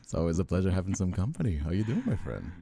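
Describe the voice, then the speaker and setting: Male streamer, extremely low gravelly voice, affectionate casual chat, faint music underneath. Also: very animated, quick pace, warm tone. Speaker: streamer and host.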